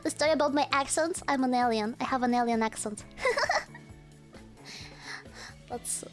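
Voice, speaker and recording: A woman's voice: high voice, lively intonation, a little slow, soft background music. A young woman streamer with a hard-to-place mixed accent, chatting casually to her viewers with lots of fillers and laughter. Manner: talks to chat, frequent laughter and fillers. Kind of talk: casual livestream chat.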